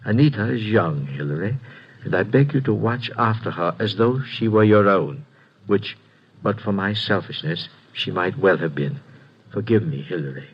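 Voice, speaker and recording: An actor in a 1950s radio drama, reading out a remorseful farewell letter in a measured way. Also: male voice, fairly low pitch, lively intonation, husky. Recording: dull old 1950s radio recording.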